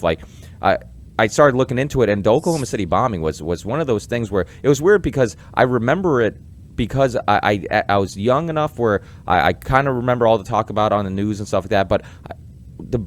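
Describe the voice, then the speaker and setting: A man in his twenties hosting a podcast, talking in fast, rambling bursts and stumbling over words. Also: lowish voice. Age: 20s. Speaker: podcast host. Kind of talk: podcast interview.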